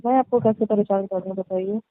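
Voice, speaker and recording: A woman's voice: mid-range voice, fairly even intonation, fast talker, close to an everyday voice. A woman playing a placating, coy married tenant on a phone call, speaking in a repetitive, evasive way. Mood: questioning. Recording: echoey, tinny narrowband phone line.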